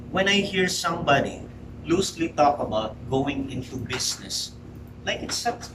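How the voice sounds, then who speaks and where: A man in his forties giving a prepared speech to a live audience, emphatic and rhetorical, talking straight to the crowd. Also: on the high side. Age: 40s. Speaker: public speaker. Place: meeting room with audience. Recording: roomy hall, mic at a distance, noisy.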